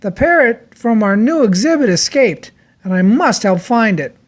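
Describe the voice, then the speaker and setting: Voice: mid-range voice, animated, normal speed, pitched above the performer's own voice, lightly acted. A storyteller voicing a stern, commanding male zookeeper in a children's storybook. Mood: urgent. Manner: commanding, emphatic.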